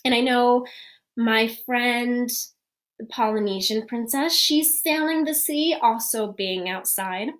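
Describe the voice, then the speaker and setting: A woman's voice: on the high side, slow pace. Woman playing a sweet fairy-tale princess. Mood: sweet, welcoming. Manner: gentle, gushing, storybook-polite. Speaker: costumed princess performer. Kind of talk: live-stream greetings to young fans.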